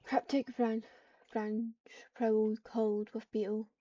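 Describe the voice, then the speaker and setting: Subdued, flat-toned woman on a distant mic. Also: fairly high pitch, slow pace, dry recording. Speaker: online roleplayer. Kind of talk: reading typed roleplay lines aloud while gaming. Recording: muffled, distant mic with keyboard typing.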